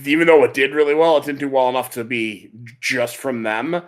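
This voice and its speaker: A man's voice: mid-range voice, fairly quick. A man hosting a podcast, chatting casually about anime in a rambling, enthusiastic way. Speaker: podcast host.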